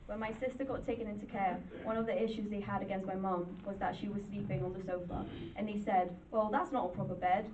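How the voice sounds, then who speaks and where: A woman's voice: mid-range voice, steady tone, fast, few pauses, darkish tone. Woman reading aloud, distant and noisy. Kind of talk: dramatic reading of speeches aloud. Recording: distant, muffled, noisy recording.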